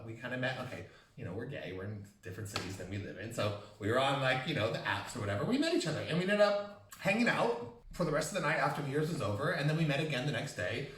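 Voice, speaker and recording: Fast, lively man telling a campy story. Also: medium pitch. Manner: campy, joking, self-mocking storyteller. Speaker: podcast co-host. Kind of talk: casual chat. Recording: roomy, mic at a distance.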